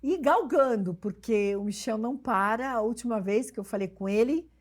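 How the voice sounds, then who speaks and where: A woman's voice: on the high side, moderate pace. A woman hosts a talk show, chatting and interviewing in an informal, rambling way with familiar forms of address.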